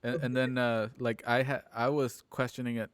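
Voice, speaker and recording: A man chatting casually on a group call as a host, explaining things haltingly and repeating words as he goes. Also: medium pitch, flat delivery, smooth, no room sound. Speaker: host and producer of a streamed radio drama show. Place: at home on a group video call.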